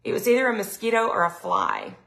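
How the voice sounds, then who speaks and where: A middle-aged woman, chatting casually on a live sales stream, her throat a little raspy from allergies. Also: a little high, huge pitch swings, brisk, a bit bright. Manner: chatty, lots of ums, reads viewer comments aloud. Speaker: product consultant hosting a live sales stream.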